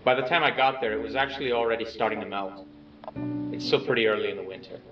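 A man playing an awkward, earnest person recording a low-key video letter to someone absent, speaking haltingly and softly self-effacing. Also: close to an everyday voice. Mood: hesitant, subdued. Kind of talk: low-key found-footage video message monologue. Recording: dull, echoey, distant playback with music.

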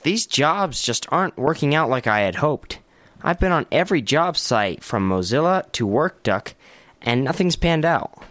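A man's voice: on the high side, big pitch swings, moderate pace, a bit bright, dry recording. A man acting as an earnest, hesitant youth looking for work in a family radio comedy. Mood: discouraged.

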